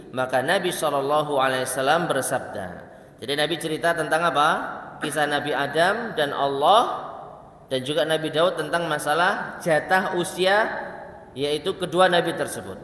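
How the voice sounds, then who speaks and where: Male preacher, fairly high voice, slow Arabic recitation, brisk explanations, echoey hall. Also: bright, forceful tone. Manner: recites Arabic slowly, then explains it in quick asides. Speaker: Islamic preacher. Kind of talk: religious study lecture.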